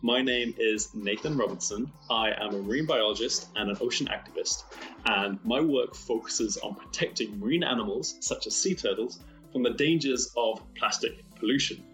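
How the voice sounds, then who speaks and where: A man's voice: medium pitch, moderate pace, a bit bright, soft background music, rough recording. A man speaking as an expert to a child, with encouraging, neatly phrased, prepared explanations. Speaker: marine biologist answering a child's question.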